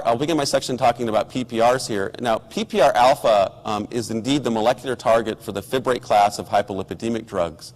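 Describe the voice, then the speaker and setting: Hoarse man lecturing in a reverberant hall. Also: medium pitch. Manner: measured, technical, with ums and restarts. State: hoarse from a cold. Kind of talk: academic science lecture.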